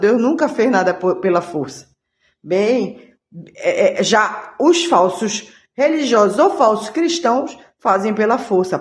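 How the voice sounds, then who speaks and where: A woman giving an earnest religious monologue as a channel host, halting often and restarting her words. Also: on the high side, echoey room.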